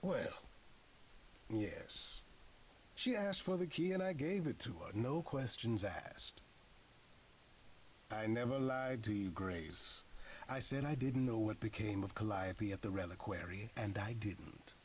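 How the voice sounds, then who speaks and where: An actor playing a sly, evasive, charming male god in a video game, speaking in a measured, coaxing, theatrical way. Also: fairly low pitch, expressive, a little hiss or hum.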